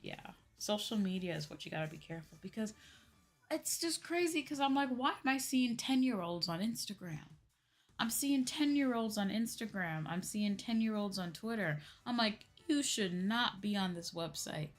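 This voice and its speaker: A woman's voice: medium pitch, animated, moderate pace. A woman livestreamer chatting casually with her viewers, with music playing behind her. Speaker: livestreamer, VTuber host.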